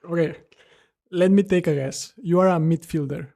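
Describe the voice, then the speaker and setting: A man's voice: fairly high pitch, normal speed, vocal fry, mellow. A man co-hosting a podcast, putting casual questions to a guest. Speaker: podcast co-host.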